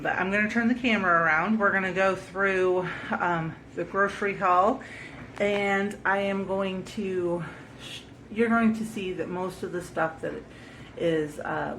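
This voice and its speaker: A woman's voice: fairly low pitch, a bit bright, slight background noise, low-quality recording. A woman vlogger with a stuffed-up head cold, chatting casually at home through a grocery haul and often correcting herself with ums. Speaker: homesteading vlogger.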